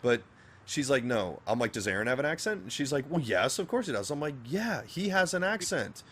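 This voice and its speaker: A man's voice: mid-range voice, brisk, slight background noise. A man from Northern Virginia with a general American accent, co-hosting a casual podcast and joking crudely with his co-host. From Northern Virginia, USA. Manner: crude, swearing, animated and joking. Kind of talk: casual chat.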